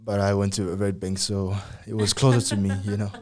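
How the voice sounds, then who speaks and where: A man's voice: on the low side, little pitch movement, brisk. Hesitant man hosting an interview. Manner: hesitant, with many uhs and restarts. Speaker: podcast host.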